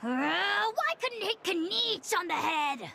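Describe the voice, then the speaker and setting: A woman voicing a small, excitable childlike fairy companion in a video game, squeaky and dramatic. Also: takes it slowly, vocal fry, very noisy, heavily acted. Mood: startled, puzzled. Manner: excitable, exclamatory. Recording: loud music behind the voice.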